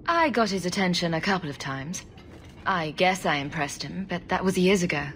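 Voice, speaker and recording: A polite calm diplomatic hero in a fantasy game, helpful, faint noise behind. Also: male voice, high voice, moderate pace. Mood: calm, thoughtful. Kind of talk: video game dialogue acting.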